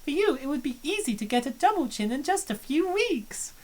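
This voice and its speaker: A woman's voice: high-pitched, sing-song, a little fast, noisy background. A woman voicing a breezy, self-assured young woman. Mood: cheerful, self-assured. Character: confident, cheerful large young woman. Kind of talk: fan voice-over of a visual novel.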